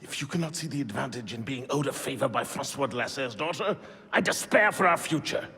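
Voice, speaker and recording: An actor playing a commanding, theatrical elder of an assassin order in a video game, speaking with grandiose authority. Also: male voice, mid-range voice, animated, a little fast, sharp tone, long reverb tail. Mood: authoritative. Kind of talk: dramatic video game voice acting.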